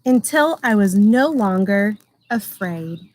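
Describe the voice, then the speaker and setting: A woman voicing a gentle, anxious but determined egg, telling its own story in measured, expressive storybook narration. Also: mid-range voice. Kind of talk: first-person storybook narration.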